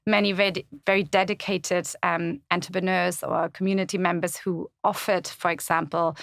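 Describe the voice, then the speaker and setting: German-accented woman, low gravelly voice, relaxed interview guest, dry studio mic. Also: moderate pace, no room sound. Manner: thoughtful, many fillers and restarts. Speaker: podcast guest, community website founder. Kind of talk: casual interview chat. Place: podcast studio.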